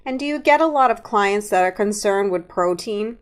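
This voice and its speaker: A woman's voice: medium pitch, expressive, normal speed. A woman asking questions as the interviewer in an interview.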